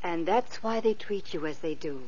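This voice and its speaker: A woman playing a gentle, kindly fairy godmother in an old radio play, soothing and tender, on a dull lo-fi recording. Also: fairly low pitch, lively intonation, normal speed, breathy edge. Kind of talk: 1950s radio acting.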